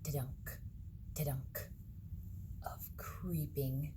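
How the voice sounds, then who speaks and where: A woman reading a picture book aloud to children, dramatically, with hushed suspenseful moments and asides to her young listeners. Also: rumbling low, steady tone, extremely slow, whispered. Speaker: read-aloud storyteller for children.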